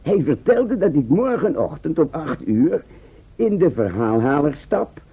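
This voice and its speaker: An actor in a Dutch radio play playing an eccentric, self-assured time traveller who explains patiently and checks that listeners follow. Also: male voice, on the high side, sing-song, a little slow, husky, exaggerated voice. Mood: storytelling. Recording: muffled, band-limited old radio recording.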